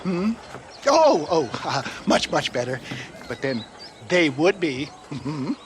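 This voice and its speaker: Pompous, high-pitched old snake-oil salesman. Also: very animated, takes it slowly, slight background noise. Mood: wheedling, evasive. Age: older. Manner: florid, pompous, theatrical orator.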